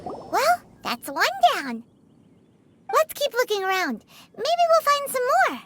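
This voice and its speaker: Squeaky, tiny, excitable flying companion. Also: female voice, takes it slowly, exaggerated voice. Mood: intrigued. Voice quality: squeaky, childlike. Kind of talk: video game voice acting.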